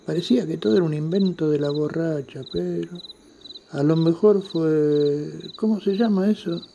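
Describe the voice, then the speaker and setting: A man's voice: a little high, moderate pace, creaky, mellow, slight background noise. A man playing a superstitious, chatty night watchman in an audio drama, with a Rioplatense Argentine accent, muttering to himself and exclaiming. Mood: uneasy, muttering. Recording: long hall-like reverb.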